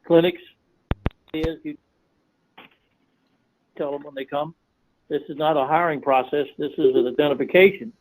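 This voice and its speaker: A man's voice: fairly high pitch, fairly even intonation, moderate pace, pauses often, a little mellow, low-quality recording. A man answering in a group interview on a conference call, explaining his points in an instructive, emphatic way. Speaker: officiating coordinator, interview guest.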